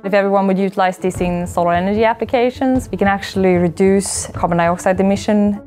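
A woman's voice: mid-range voice, quick pace, a little mellow. Woman in her 30s, earnest explainer, some uhs, lapel mic in a lab, music playing under it. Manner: earnest, explanatory, with frequent "uh" fillers. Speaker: company founder and CEO. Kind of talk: documentary interview. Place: indoor lab. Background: background music.

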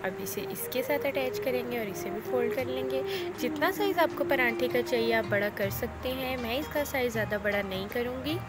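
A woman calmly explains each cooking step in a voice-over, speaking directly to viewers. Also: a little high, fairly quick. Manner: calm, instructive, addresses viewers directly. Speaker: cooking channel host. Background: loud background music.

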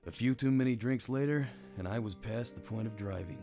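An actor playing a world-weary, hard-drinking detective in a noir radio play, with wry, understated delivery. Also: male voice, mid-range voice, a little fast, over music, close to an everyday voice. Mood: wry, brooding. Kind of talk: noir radio drama narration.

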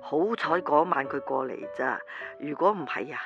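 A woman playing a worried, conciliatory older mother in a radio drama, speaking haltingly. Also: a bass voice, gravelly, dull audio. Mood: placating. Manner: hesitant, stammering, placating. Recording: music playing behind the voice. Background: music.

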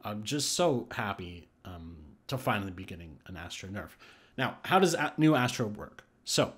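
A man's voice: lowish voice, very animated, normal speed, fairly bright tone. Man musing over game patch notes. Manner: thinks aloud with restarts and hesitations. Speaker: gaming YouTuber. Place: voice-over on screen capture.

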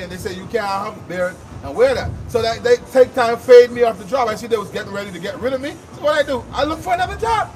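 A man street preaching outdoors, reading scripture aloud with emphatic, drawn-out delivery. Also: very high voice, fairly bright tone, far from the mic. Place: outdoors on a city sidewalk.

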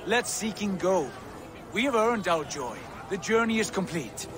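Proud Viking warlord, game cutscene, higher-pitched and animated, commanding, declamatory. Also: male voice, a little slow, noisy background. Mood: boisterous. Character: proud, commanding Viking warrior lord, exuberant and insistent. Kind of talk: video game cutscene acting.

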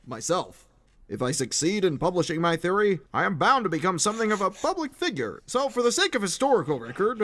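Man acting as eccentric middle-aged male paranormal researcher, obsessive, erudite, theatrical journal narration, highly animated intonation, slightly raised pitch. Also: exaggerated voice. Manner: theatrical, erudite, emphatic delivery. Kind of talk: dramatic first-person audiobook narration.

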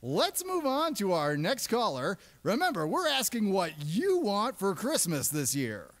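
Woman playing an animated, unhurried radio DJ. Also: medium pitch. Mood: polite, flustered. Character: upbeat, patient male radio DJ puppet. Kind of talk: comic radio DJ patter.

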